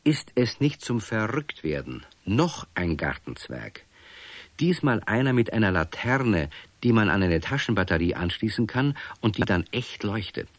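A man's voice: on the low side, very animated, unhurried, no echo. A man as storyteller, reading scripted narration for a children's radio play. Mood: narrating.

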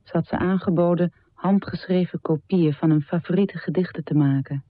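An actress playing a composed adult daughter, narrating in a radio drama in a measured, matter-of-fact way. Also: female voice, on the low side, no room sound, lightly acted. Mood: composed, reflective. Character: composed adult daughter remembering her dead mother. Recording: dry, phone-like narrow band.

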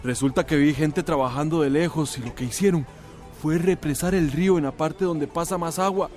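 A man acts out a shaken villager from the countryside in a radio drama. His voice sits fairly high and he talks urgently in homely rural phrases. Soft music plays underneath. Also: moderate pace, natural voice. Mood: shaken, alarmed. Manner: agitated, urgent, colloquial rural speech. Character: frightened young rural villager bringing alarming news.

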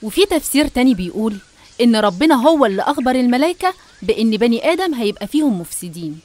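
Fast-talking Egyptian woman narrator. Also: fairly high pitch, sing-song, darkish tone, dry recording. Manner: didactic, addressing the listener directly. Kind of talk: scripted religious storytelling narration.

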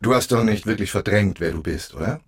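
An actor playing an eerie, accusing forgotten man in an audio drama, measured, brooding and menacing. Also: on the low side, animated, breathy edge. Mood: wounded, accusing. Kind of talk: German audio drama acting.

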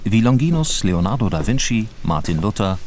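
Ein Mann spricht als Ansager eine geschriebene Hörspielansage. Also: male voice, fairly low pitch, sing-song, normal speed, darkish tone. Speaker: announcer. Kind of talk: scripted series announcement. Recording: clear thunderstorm noise behind.

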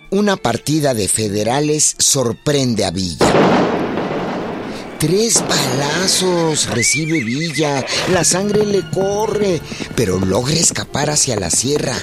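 A man's voice: fairly high pitch, big pitch swings, takes it slowly, loud music behind the voice. A man delivering dramatic scripted historical narration for a radio play.

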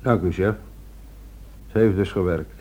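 Low, mellow-voiced subdued policeman. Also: unhurried. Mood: deferential. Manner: deferential, sombre. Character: subdued, shaken male police subordinate. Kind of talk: radio drama acting.

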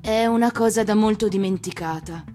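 A woman voicing a gentle, earnest female fox who explains things patiently in an expressive storybook manner. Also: mid-range voice, normal speed, soft background music, voice pushed up, natural voice. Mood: earnest, explaining.

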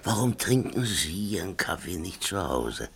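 An actor playing a cheeky, stubborn old working man in a radio play, gruff and colloquial. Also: sits low, big pitch swings, unhurried, full character voice. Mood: dry, gruff. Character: grumbling, cheeky old working-class man, railway track walker. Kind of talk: naturalistic radio play acting.

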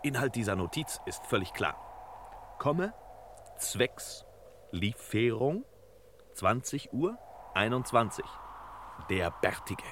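An actor playing a self-assured, know-it-all master detective in a crime radio play parody, with pompous, clipped delivery. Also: male voice, medium pitch, very animated, slow, long pauses. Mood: brisk, superior. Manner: pompous, clipped, precise deductions. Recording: noisy old radio drama recording.

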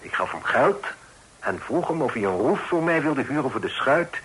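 An actor playing a proud, protective middle-aged father in a period radio drama, speaking formally and with measured authority. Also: medium pitch, very animated, a bit bright, husky, exaggerated voice, rough recording. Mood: measured, confiding. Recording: old radio play recording.

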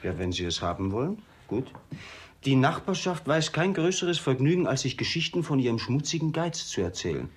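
A cheeky, indignant manservant acted by a man in a comic radio play. His mid-pitched voice swings widely, plain-spoken and theatrical, soft-hearted underneath and quick to turn plaintive. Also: sing-song, lightly acted. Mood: blunt, mocking. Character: blunt, soft-hearted male servant, coachman, indignant and cheeky. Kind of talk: German radio play comedy acting.

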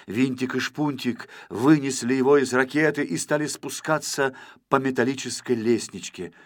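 A man's voice: medium pitch, moderate pace. A man narrating a children's story expressively as an audiobook reader.

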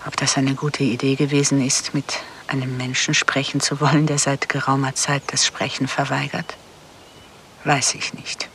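A woman's voice: rumbling low, level tone, moderate pace, a bit bright, a little hiss or hum. A cool female contract killer in a German radio drama. Her delivery is guarded, dry and terse. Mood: wary, probing. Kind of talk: German radio play acting.

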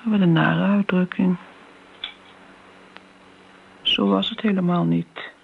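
Een actrice die in een hoorspel een oudere, keurige tante speelt, ontwijkend en sentimenteel, met een ingehouden stem. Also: female voice, on the low side, steady tone, takes it slowly, heavily acted. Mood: defensive. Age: older. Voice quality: hushed, creaky. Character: elderly genteel aunt, evasive, sentimental, prim. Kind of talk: radio play acting. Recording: muffled, noisy old radio play recording.

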